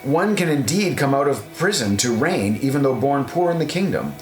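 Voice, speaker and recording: Guy reading bible audiobook, solemn and measured, mid pitch, echoey room w music under it. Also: very animated. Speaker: audiobook narrator. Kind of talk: scripted scripture reading. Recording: roomy echo, music underneath.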